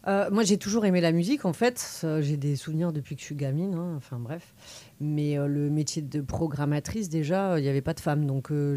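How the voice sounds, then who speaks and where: A woman's voice: low voice, mellow, no echo. A woman speaking as an interview guest in an informal, chatty way. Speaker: interview guest, music programmer. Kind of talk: casual chat.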